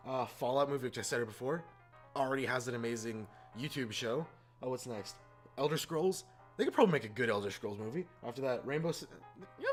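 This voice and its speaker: A man's voice: medium pitch, a bit bright. A man hosting a casual opinion rant, exasperated and informal, repeating words for emphasis. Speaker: YouTube host. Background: background music.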